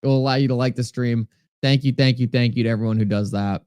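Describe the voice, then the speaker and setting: A man's voice: mid-range voice, steady tone, fast talker, a little mellow, clean tone, dry recording, clean studio sound. A man with a New England accent hosting a live stream, chatting casually, reading viewer comments aloud and thanking people by name. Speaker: livestream host. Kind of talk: casual livestream talk answering chat.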